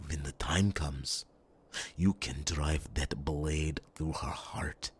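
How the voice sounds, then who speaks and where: A man playing a grave, resolute warrior in an ASMR fantasy roleplay, speaking solemnly and deliberately, with dramatic pauses and a gravelly, breathy rumble. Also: heavily acted. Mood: imploring, intense.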